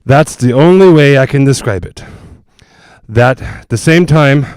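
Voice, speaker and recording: A man playing a solemn, earnest psychic ghost hunter, formal and halting, in a 1940s-style radio drama. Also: medium pitch, darkish tone, clean tone, no echo, studio quality, natural voice. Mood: strained, grave. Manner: formal, measured, halting, grave.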